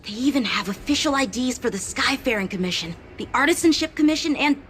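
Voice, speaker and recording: Serious young investigator, kinda high voice, worried and urgent. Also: female voice, animated, a bit bright, faint music behind. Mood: earnest. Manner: urgent, quick to worry. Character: earnest young woman investigator, alert and serious. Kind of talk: game voice acting.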